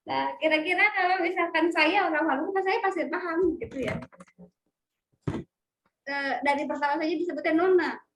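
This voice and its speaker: High-voiced woman lecturing on a video call. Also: normal speed, long pauses, a bit bright. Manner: questioning, prompts students with frequent tag questions. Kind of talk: online sociolinguistics lecture. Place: home, online class.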